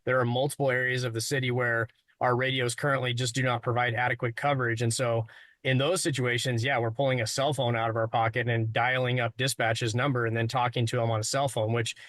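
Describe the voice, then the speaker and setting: A man's voice: medium pitch, little pitch movement, brisk, a bit bright, dry recording. American man explaining in a dry recording. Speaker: local public safety official. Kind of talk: spontaneous interview explanation.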